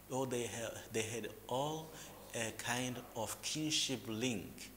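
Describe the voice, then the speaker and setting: A man from Mozambique, explaining local history as an interview guest in a measured, lecturing way, with hesitant fillers and restarts. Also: mid-range voice, fairly bright tone. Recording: reverberant room with faint background noise.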